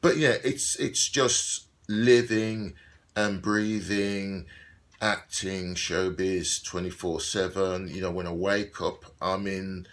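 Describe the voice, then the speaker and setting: A man, an actor, talking through his thoughts in a video diary, with a slightly croaky voice and a musing, repetitive way of speaking. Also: deep voice, fairly even intonation, slow. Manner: musing, repeats himself, digresses. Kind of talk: reflective casual monologue.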